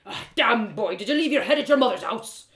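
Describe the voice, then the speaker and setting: Give me a woman acting out a gruff old village blacksmith from a storybook. He is stocky and blustering, with rustic turns of phrase and big swings in pitch. The sound is a little roomy. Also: animated, brisk, a bit bright. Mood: scolding, blustering. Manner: rustic dialect, blustering exclamations. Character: gruff, stocky, red-bearded old male blacksmith, scolding yet genial. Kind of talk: storybook character voice.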